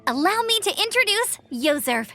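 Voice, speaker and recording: Confident young adventurer girl: high, lively voice for a game cutscene. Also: moderate pace, gravelly, soft background music, full character voice. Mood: upbeat. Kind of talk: anime game voice acting.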